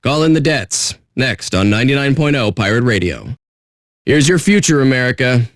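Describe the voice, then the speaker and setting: An actor playing a jaded pirate radio DJ with a sardonic, blunt, profane way of talking. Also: male voice, medium pitch, lively intonation, moderate pace, dry recording, studio quality, natural voice. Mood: scornful. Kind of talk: irreverent radio DJ patter.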